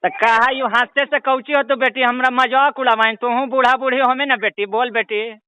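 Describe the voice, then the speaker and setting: A man's voice: extremely high pitch, steady tone, moderate pace, bright, forceful tone, clear, resonant tone, no echo, low-quality recording. A man voicing a whiny, ailing old villager with a Bihari accent, pleading and rambling in a comic prank call. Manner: pleading, rambling, repeatedly addressing the listener as daughter. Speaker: prank caller.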